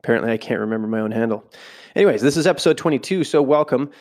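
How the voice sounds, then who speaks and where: Male podcast host, home setup, stuffy with a cold, reads a book aloud and drifts into loose asides, mid-pitched. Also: lively intonation, very fast, darkish tone. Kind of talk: casual podcast, reading from a book with side comments. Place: home room, not a studio.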